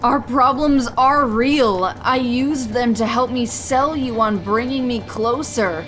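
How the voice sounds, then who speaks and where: A woman playing a defiant, sharp-tongued young boy in a comic book audio drama, speaking curtly. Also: on the high side, slow, a little hiss or hum. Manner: curt, defiant.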